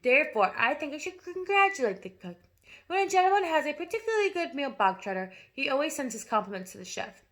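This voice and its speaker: Brief: a woman voicing a furious bully headmistress, slow, booming, high and sharp. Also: big pitch swings. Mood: mocking. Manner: barking, bellowing insults.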